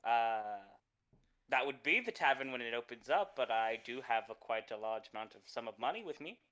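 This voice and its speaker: A hesitant would-be tavern owner , played by a man in his own voice, stumbles through her answers. The voice is bright and lively in pitch. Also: lightly acted. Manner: hesitant, halting in character. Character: young woman seeking to buy a tavern.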